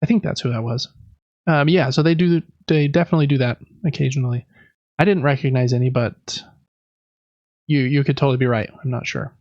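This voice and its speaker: Guy doing casual podcast hosting, gravelly fry in his voice, dry slightly muffled sound. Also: mid-range voice, steady tone, brisk, a little mellow, dry recording. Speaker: podcast host. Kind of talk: casual video game news talk.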